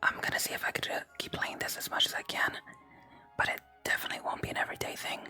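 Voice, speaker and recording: Hushed ASMR whisper over game music. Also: fast talker. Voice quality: soft breathy whisper. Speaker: video game streamer, host. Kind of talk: whispered ASMR let's play, reading game text aloud.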